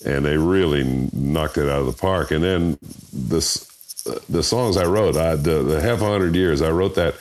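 Older man with a very deep voice. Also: normal speed, darkish tone. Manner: chuckling, rambling storyteller. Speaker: bandleader and voiceover artist. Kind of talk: casual interview chat.

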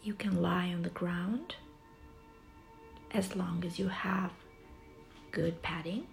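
A woman guiding a yoga nidra relaxation session in a soft, soothing, breathy way, with long pauses between short phrases. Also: on the low side, steady tone, soft background music. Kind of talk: guided relaxation meditation.

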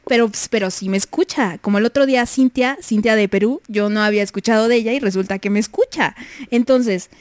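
A woman's voice: on the high side, a little fast, a little mellow, dry recording. A young Mexican woman hosting a livestream, chatting casually, reading messages and giggling often. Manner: giggly, chatty, many fillers. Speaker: livestream host.

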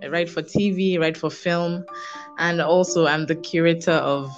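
A Nigerian woman with a fairly low voice talks casually as an interview guest. She is emphatic, repeating phrases to drive them home, on a slightly dull recording with faint music behind. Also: fairly even intonation, moderate pace. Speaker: podcast guest, screenwriter. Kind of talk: casual conversational interview answers.

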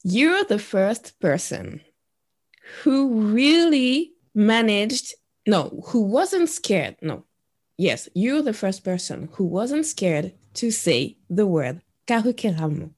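Slow-speaking woman podcast host from Guadeloupe. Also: medium pitch, very animated, darkish tone. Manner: slow, attentive, frequent murmured agreement. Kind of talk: podcast interview.

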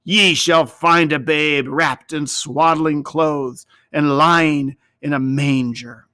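A man's voice: a little high, fairly even intonation, takes it slowly, pushed and bright, no room sound, studio quality. Man as a ringing, majestic angel. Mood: exalted. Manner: ringing, solemn, declamatory. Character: majestic angel proclaiming glad tidings.

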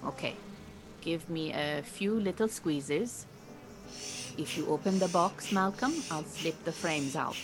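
A woman playing a firm, measured beekeeping teacher in an audio drama, giving instructions. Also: low voice, noisy background. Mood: calm, instructive.

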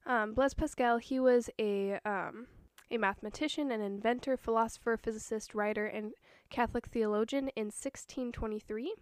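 A young woman with a slightly high voice and a hoarse throat from a cold hosts her own podcast. She talks in an earnest, chatty way and sounds a little nervous. The sound is dry and close, with no room echo. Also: normal speed. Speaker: podcast host. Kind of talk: casual solo podcast talk.

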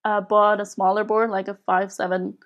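A young woman from Switzerland talks as a podcast guest in a relaxed, conversational way. Her voice sits at a middle pitch. She is chatty and a little giggly, working out her answers as she goes. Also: normal speed. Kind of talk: casual conversational interview.

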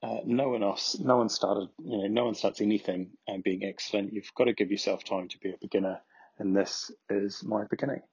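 Husky New man, lo-fi sound. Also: on the low side, fairly even intonation, a little mellow, dry recording. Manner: earnest, encouraging, halting. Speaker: podcast host. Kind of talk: reflective podcast trailer. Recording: dull lo-fi recording.

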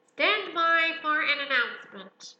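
A woman reading a storybook as a pompous, formal fairground loudspeaker announcer. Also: high-pitched, animated, a little slow, highs cut off, voice pushed up, heavily acted. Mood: pompous, grand. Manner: pompous, formal, self-important. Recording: roomy echo, faint noise.

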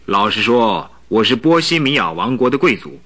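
A man's voice: medium pitch, big pitch swings, moderate pace, bright, forceful tone. An actor playing a haughty, secretive young king in a radio drama, speaking in a formal, grave and imperious manner. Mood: haughty, guarded. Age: young adult. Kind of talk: Mandarin radio drama acting.

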